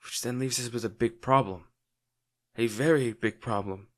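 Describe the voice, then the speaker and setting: A man playing a lovesick, protective villain in an intimate, brooding audio roleplay, speaking earnestly with dramatic pauses. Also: medium pitch, natural voice. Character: lovesick male villain, brooding, protective, torn over wounded hero.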